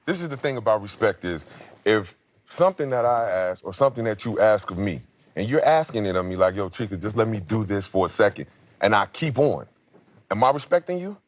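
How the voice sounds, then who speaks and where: A man on a reality show reunion, lecturing in a firm, measured way. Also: fairly low pitch, expressive. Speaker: reality show cast member. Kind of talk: arguing at a televised reunion.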